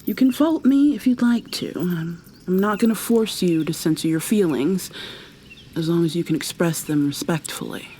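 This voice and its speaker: A woman playing a tender, possessive kidnapper in an ASMR roleplay, speaking softly and haltingly, often slipping into a breathy whisper as she coaxes. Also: lowish voice, slight background noise, lightly acted. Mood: gently firm. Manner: coaxing, gentle, halting. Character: tender, possessive female kidnapper doting on her captive lover.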